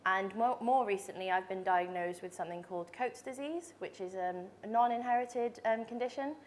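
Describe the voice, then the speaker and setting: A woman's voice: mid-range voice, normal speed, slight background noise. A woman in her late twenties from Dubai, speaking on a conference panel and describing her own experience in an open, matter-of-fact way. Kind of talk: panel introduction and personal account.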